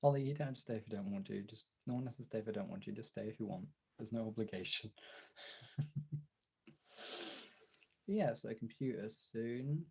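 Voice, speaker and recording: Young male streamer, husky and dark-toned, informal off-the-cuff chat with viewers. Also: lowish voice, normal speed, pauses often. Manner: informal, chatty, answering chat as he goes. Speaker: streamer and video creator. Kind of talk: casual livestream chat.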